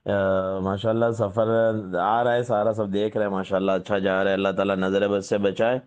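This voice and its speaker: A man's voice: on the low side, flat delivery, fairly quick, darkish tone, clean tone. A man talking hesitantly and tactfully, with respectful pious phrases, in a polite conversation on a muffled phone call recording.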